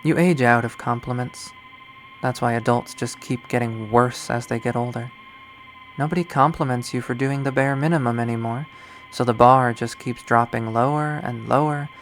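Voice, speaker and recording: A man's voice: mid-range voice, steady tone, moderate pace, faint music behind, natural voice. A man voicing a jaded, unhinged young woman artist in a bitter, profane, rambling monologue from a visual novel. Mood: bleak, contemptuous. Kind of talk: dramatic visual novel readthrough.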